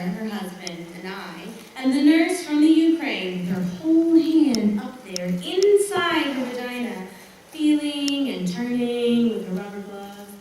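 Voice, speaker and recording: Echoey, distant woman reciting a slow dramatic monologue. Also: on the high side, a little mellow. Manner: dramatic, deliberate phrasing with pauses. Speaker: stage performer of a monologue. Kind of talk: theatrical spoken monologue recitation. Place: large hall or stage, recorded from afar. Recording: very echoey hall, distant mic, faint noise.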